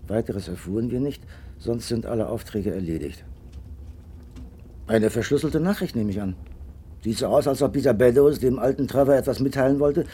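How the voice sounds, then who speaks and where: An actor playing a detective's loyal friend in a radio drama, earnest, puzzled and deliberate. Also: male voice, mid-range voice, animated, dark tone, slight background noise, lightly acted. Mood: thoughtful, puzzled.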